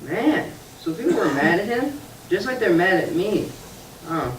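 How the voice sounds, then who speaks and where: A woman's voice: medium pitch, very animated, measured pace, slight background noise. A woman voicing Scooter, a short young boy puppet who feels sorry for what he has done, asking eager, wide-eyed questions in a church puppet show. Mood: wondering. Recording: echoey room, distant mic.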